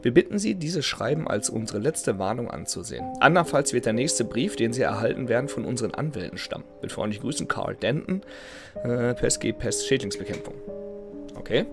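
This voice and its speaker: A man in his thirties with a cold and a slightly nasal voice comments casually on a game. He chats freely and reads in-game letters aloud. He speaks through a headset at home, with game music clearly audible behind him. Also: medium pitch, expressive, brisk. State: has a cold. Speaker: let's play host. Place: home gaming room, indoors.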